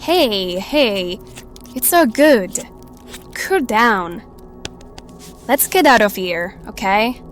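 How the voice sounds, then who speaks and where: A woman plays a young sorceress in an audio drama, wary but kind-hearted. She talks slowly and with measured drama, over faint music. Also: mid-range voice, expressive. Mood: gentle, concerned. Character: young female magic user, wary yet kind-hearted.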